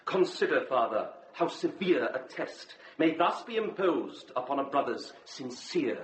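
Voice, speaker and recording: An actor playing a pious, insinuating monk in a radio drama, with formal and sanctimonious delivery. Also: male voice, medium pitch, very animated, unhurried, echoey room, a little hiss or hum, rough recording.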